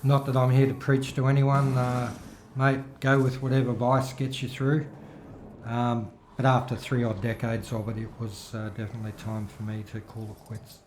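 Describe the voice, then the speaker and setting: A man's voice: medium pitch, level tone, normal speed, darkish tone, reverberant, slight background noise. Chatty middle-aged man. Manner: rambling, chatty, with filler-heavy restarts. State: throat still recovering, voice a little worn. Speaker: gaming video host. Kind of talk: casual gameplay commentary.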